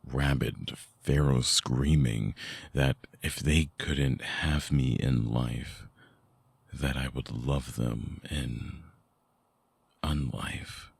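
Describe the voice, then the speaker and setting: A man playing a brooding, tender, wounded vampire ex-lover in an intimate ASMR audio drama, husky and breathy, with a halting, hushed delivery close to the mic. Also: extremely low pitch, no echo, exaggerated voice. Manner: slow, halting, sighing, hushed confiding. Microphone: close binaural mic, dry sound.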